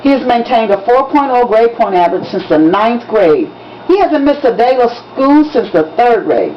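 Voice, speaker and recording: A woman's voice: a little high, very animated, normal speed. A woman voicing a proud male school principal making formal, declamatory announcements for an audiobook-style reading. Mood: proud, announcing. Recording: dull lo-fi recording with background noise.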